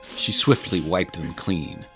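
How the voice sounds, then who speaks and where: A man's voice: low-pitched, expressive, slow pace, creaky, a bit bright, soft background music. A man narrating a scripted dramatic story in the manner of an audiobook.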